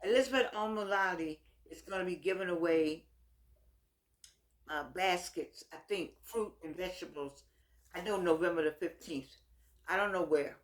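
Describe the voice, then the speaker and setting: An American woman, middle-aged or older, giving unscripted opinion talk to her viewers in an earnest, exhorting manner, often repeating words haltingly. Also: lowish voice. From United States. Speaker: vlogger addressing viewers.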